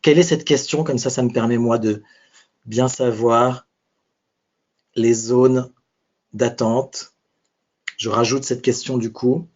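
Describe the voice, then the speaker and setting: A man's voice: medium pitch, fairly even intonation, slow, long pauses, a little mellow, breathy edge. A man presenting a live webinar as a speaking coach, talking conversationally and thinking aloud with restarts. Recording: muffled webinar audio.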